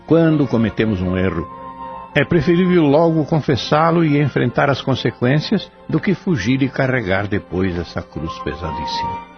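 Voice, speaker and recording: Reflective male commentator with a lively, expressive delivery; muffled recording with soft music. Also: medium pitch, normal speed. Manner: reflective, preachy. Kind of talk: moral commentary. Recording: dull lo-fi audio with faint music.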